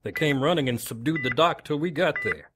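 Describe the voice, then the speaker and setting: Matter-of-fact police lieutenant played by an actor, noisy backdrop. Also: male voice, a little high, animated, a little fast, natural voice. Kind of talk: audio drama acting. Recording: clear background noise, beeping.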